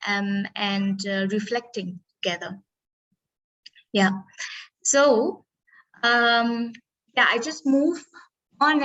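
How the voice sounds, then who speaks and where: A woman's voice: medium pitch, a bit bright, rough recording. Woman hosting a webinar, full of ums. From Vietnam. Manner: hesitant, many ums and uhs. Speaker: workshop facilitator. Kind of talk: online workshop presenting. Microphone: video call.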